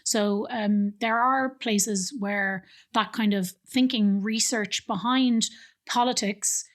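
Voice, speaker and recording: A woman's voice: mid-range voice, steady tone, takes it slowly. Irish woman explaining with many fillers. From Ireland. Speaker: guest, think tank director. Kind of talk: conversational interview. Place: living room studio with plants and books. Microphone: studio mic on stand.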